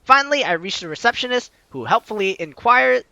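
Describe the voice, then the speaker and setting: A man playing a game and reading its dialogue aloud, animated with joking asides. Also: very high voice, a little fast, vocal fry, dry recording, muffled recording. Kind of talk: let's play narration. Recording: game music behind.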